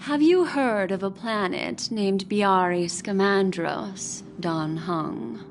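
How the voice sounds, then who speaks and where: A woman's voice: mid-range voice, takes it slowly, a little hiss or hum. A woman playing a composed, knowing strategist in a video game, explaining things in a poised way. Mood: composed, serious. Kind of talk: English video game voice acting, expository.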